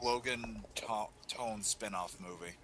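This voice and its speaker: A man's voice: lowish voice, slow pace, pushed and bright. A man in a casual podcast chat, making emphatic, exasperated asides.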